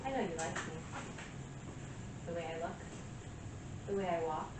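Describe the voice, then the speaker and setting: A woman's voice: mid-range voice, expressive, natural voice. Actress playing a vain, self-adoring woman, echoey room. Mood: smug, seductive. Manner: self-adoring, deliberate delivery with pauses. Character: vain, deluded woman narcissist convinced everyone desires her. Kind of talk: theatrical stage monologue. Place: small live stage venue with audience. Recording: echoey room from far off, noisy.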